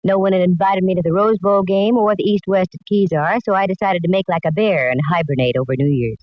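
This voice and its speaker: A woman's voice: fairly low pitch, fast, no room sound, close to an everyday voice. Wry girl detective, played by an actress on muffled old radio. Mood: wry, confiding. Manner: slangy, wry first-person storytelling. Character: wry, breezy young female private detective. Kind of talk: 1940s radio detective drama acting. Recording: dull vintage radio recording.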